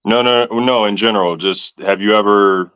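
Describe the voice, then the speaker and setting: A man's voice: fairly low pitch, fairly even intonation, moderate pace, fairly bright tone, smooth, no echo. A man hosting a casual interview, encouraging the guest and backchanneling often. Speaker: podcast host. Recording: dull lo-fi audio.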